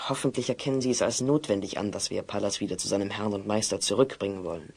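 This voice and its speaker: A man's voice: on the low side, quick pace, vocal fry, husky. A man playing an earnest, long-winded apprentice wizard who speaks precisely in a German children's audio play. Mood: hesitant, hopeful. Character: earnest, bookish male apprentice wizard, slightly unsure.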